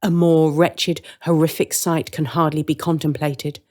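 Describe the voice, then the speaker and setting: A woman's voice: low-pitched, flat delivery, a little slow, darkish tone. A woman reading a scripted story as a dramatic narrator.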